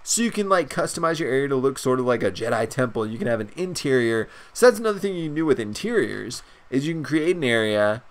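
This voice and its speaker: A young man with a stuffed-up nose, chatting excitedly and rambling through a video game's shop menus as a gaming channel host. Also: medium pitch, fast talker, few pauses. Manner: excited, rambling, many fillers. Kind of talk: casual gameplay commentary.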